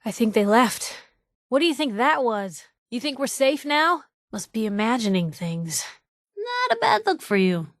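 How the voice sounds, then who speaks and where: A woman playing a sassy, warm-hearted young reporter and loyal companion in a video game, talking in wry, breezy, clipped slang. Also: fairly high pitch, sing-song, unhurried, no echo, studio quality. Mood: wry, teasing. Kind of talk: game voice lines, short reactive barks.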